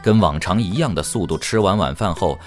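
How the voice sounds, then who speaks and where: A man's voice: deep voice, animated, a little fast. A man narrating a fantasy audio drama in a dramatic, scripted storytelling style. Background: music.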